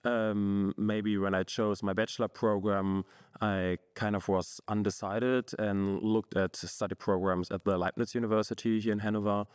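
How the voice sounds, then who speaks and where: A young man, a master's student guest answering in a relaxed, hesitant way with many fillers. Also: fairly low pitch, moderate pace, clean tone, dry recording, studio quality. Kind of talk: podcast interview answers.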